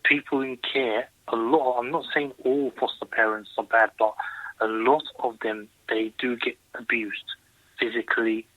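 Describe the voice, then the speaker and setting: A man's voice: mid-range voice, steady tone, moderate pace, dry recording. Earnest man calling in on a phone line. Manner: earnest, emphatic, repeats points. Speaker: caller. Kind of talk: phone-in call to a radio show.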